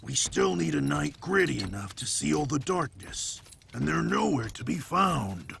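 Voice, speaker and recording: A man's voice: a little high, big pitch swings, moderate pace, gravelly, fairly bright tone, a little hiss or hum, exaggerated voice. A man voicing a hearty, easygoing warrior in a video game cutscene, with a casual, boisterous, upbeat delivery. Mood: musing.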